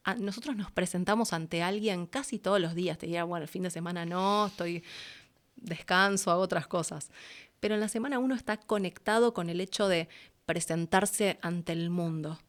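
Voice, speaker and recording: A woman's voice: on the low side, normal speed. A woman with an Argentine accent speaking as an interview guest, casual and reflective, acting out little quotes as she explains.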